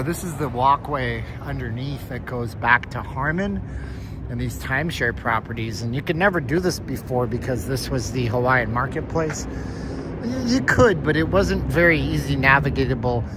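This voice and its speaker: A man's voice: mid-range voice, background noise. A man with a light Canadian accent, chatting to viewers in a rambling way as he walks city streets with his phone. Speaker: vlog host. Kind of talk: casual live-stream walking tour. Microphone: handheld phone.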